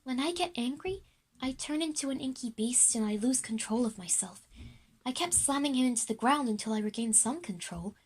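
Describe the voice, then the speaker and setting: A woman voicing a quiet girl made of ink who hides a monstrous temper, in an audio drama. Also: fairly high pitch, animated, a little fast, husky. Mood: uneasy.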